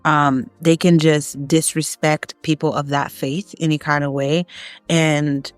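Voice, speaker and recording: Emphatic low-voiced woman from Haiti. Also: level tone, measured pace, no room sound, soft background music. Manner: emphatic, rising in pitch when making a point. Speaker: online content creator. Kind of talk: opinionated casual monologue.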